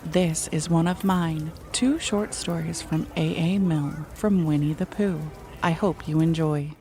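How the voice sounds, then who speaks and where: A woman's voice: sits low, measured pace, darkish tone, a little hiss or hum. A woman narrating a scripted children's story as a storyteller.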